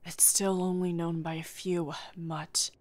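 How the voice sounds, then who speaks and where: A woman's voice: on the low side, little pitch movement, unhurried, a little mellow, lightly acted. A woman playing a haughty, venomous werewolf villainess in an audio drama, with a disdainful manner. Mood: cold contempt. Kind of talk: amateur audio roleplay drama.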